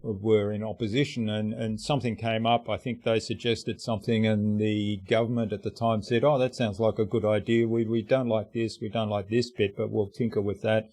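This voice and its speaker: A man from Australia hosting an interview, speaking thoughtfully and hesitantly with many pauses and ums. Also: on the low side, level tone, a little mellow, no room sound. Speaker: podcast host. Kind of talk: conversational interview.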